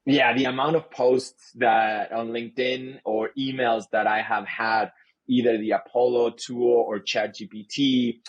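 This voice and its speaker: Husky man, Spanish first language, earnest sales-leader guest, talks in restarts. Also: mid-range voice, little pitch movement, measured pace, highs cut off. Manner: earnest, frequent restarts and repeated words. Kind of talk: casual podcast conversation.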